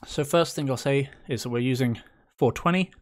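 Upbeat man walking through a software tutorial. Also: mid-range voice, moderate pace. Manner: upbeat, chatty, with playful little cheers. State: slightly hoarse from a coming cold. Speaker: game engine tutorial host. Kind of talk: screen-recorded software tutorial.

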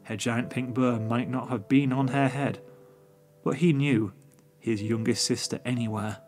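A man's voice: medium pitch, fairly even intonation, a little slow, a little mellow. A man reading scripted story narration as the narrator. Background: music.

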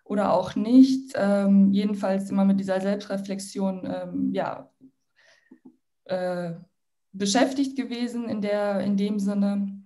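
A woman's voice: medium pitch, level tone, slow pace, mellow. A young woman from Iran, a guest social entrepreneur introducing herself on a video call in a friendly way, with many fillers and restarts. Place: home room, indoors. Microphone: laptop mic on video call.

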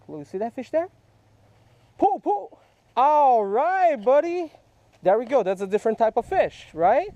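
A man's voice: sits high, gravelly, warm tone. A man patiently coaching and quizzing a child while fishing outdoors by a creek, recorded on a head-mounted camera. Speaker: fishing vlogger. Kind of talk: casual teaching chat while fishing. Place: outdoors by a small creek in a park. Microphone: head-mounted action camera.

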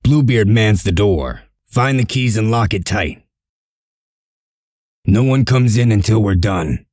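A man's voice: fairly low pitch, expressive, slow pace, darkish tone, no room sound, studio quality. A man voicing a stern, shrewd leader of a covert break-in in a comic dub, giving curt, authoritative orders. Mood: commanding.

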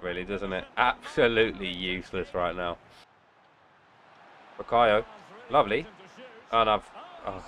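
Casting: young man, nasal from a cold, lowish voice, gaming commentary with sudden excited shouts. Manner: excitable outbursts during play, muttering between. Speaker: gaming channel host. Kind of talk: casual gameplay commentary. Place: indoor room at home.

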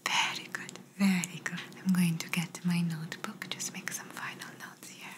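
Softly whispering, gentle woman doctor, acted by a woman. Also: on the low side, little pitch movement, normal speed, lightly acted. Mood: gentle, reassuring. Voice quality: soft, breathy whisper. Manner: gentle, reassuring, slightly non-native English phrasing. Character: gentle, attentive woman doctor giving an ear exam. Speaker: ASMR performer. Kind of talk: ASMR medical exam roleplay, whispered. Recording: noisy close-up whisper recording.

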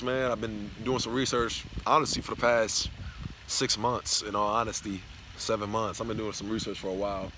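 A man's voice: lowish voice, a bit bright, poor audio. A young man from Tennessee vlogging outdoors on a college campus, rambling loosely and swearing freely into a phone held at arm's length. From Knoxville, Tennessee. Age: young adult. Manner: loose, profane, rambling talk. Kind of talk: casual vlog rant. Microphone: phone camera held at arm's length. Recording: outdoor phone audio with clear background noise.

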